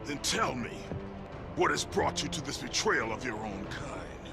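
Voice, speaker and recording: A male actor playing a hardened, vengeful warrior in a video game, with a gravelly voice and a terse, forceful, defiant delivery. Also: mid-range voice, sing-song, unhurried. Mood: scornful, angry. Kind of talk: dramatic video game acting. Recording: loud music behind the voice. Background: loud music.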